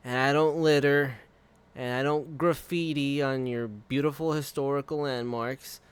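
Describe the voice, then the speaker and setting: A male streamer rambles at an unhurried pace, his voice clear and smooth. He swears freely and laughs often. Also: medium pitch, steady tone. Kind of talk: casual live-stream chat.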